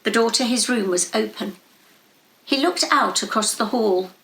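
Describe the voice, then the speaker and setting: A woman reading literary fiction aloud in a measured, dramatic storytelling manner. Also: on the high side, fairly bright tone, roomy sound, rough recording. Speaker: story reader. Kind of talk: scripted literary narration.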